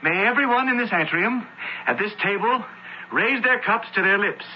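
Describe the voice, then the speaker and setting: High-voiced young Roman nobleman, impassioned, theatrical radio drama, muffled noisy recording. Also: expressive, normal speed. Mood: proud, solemn. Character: young Roman architect, impassioned lover, poisoned into raving madness. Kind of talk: old-time radio drama acting.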